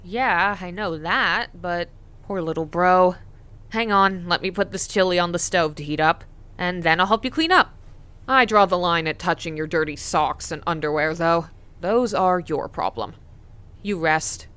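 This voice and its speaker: A woman's voice: on the low side, normal speed, sharp tone, clean tone. Fussing, caring big sister, played by a woman. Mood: teasing concern. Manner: fussing, playful, insistent. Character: caring, bossy, teasing sister, a mother of kids. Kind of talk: scripted comic dub acting.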